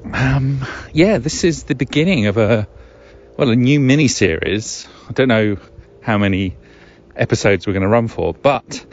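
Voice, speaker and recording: Low-voiced middle-aged man, rambling wryly. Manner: rambling, wry, hesitant, wordy asides. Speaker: podcast host. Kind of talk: musing solo podcast monologue. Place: outdoors in the woods. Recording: dull lo-fi recording, ambient noise.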